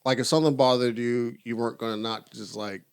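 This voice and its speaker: A man's voice: medium pitch, fairly even intonation, fast talker, clear, resonant tone. A man in his thirties co-hosting a podcast, laid-back and teasing, laughing often in casual chat. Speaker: podcast co-host.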